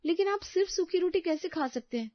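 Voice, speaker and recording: A woman playing a gentle, anxious and dutiful young daughter-in-law in a family story, speaking politely and earnestly. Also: very high voice, lively intonation, brisk, no echo, dull audio. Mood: concerned pleading. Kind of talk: acted dialogue in a Hindi family story.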